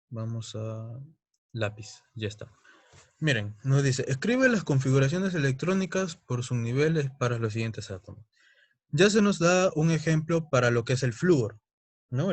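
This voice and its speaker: A man's voice: mid-range voice, no room sound. Man tutoring chemistry, dry close sound. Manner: explains step by step, often checking in with "¿no?" and "¿estamos?". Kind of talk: recorded online lesson.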